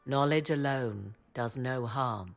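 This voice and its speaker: A woman playing an aloof, proud sorceress in a video game, speaking in formal, archaic, measured phrases. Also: extremely low pitch, faint music behind, heavily acted. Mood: cool, haughty. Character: aloof, proud sorceress of arcane and forbidden arts. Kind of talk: video game dialogue acting.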